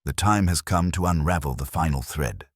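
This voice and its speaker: A man voicing a brilliant, ponderous detective in an audiobook, speaking formally and deliberately, as if pronouncing judgement. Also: extremely low pitch, breathy edge, no echo, studio quality. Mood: solemn. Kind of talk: audiobook character dialogue.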